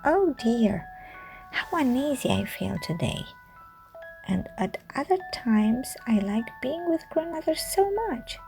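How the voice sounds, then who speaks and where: A woman's voice: on the high side, sing-song, slow, vocal fry, darkish tone, exaggerated voice. A woman voicing an innocent, anxious little girl who exclaims in wide-eyed wonder. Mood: uneasy. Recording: music under the voice.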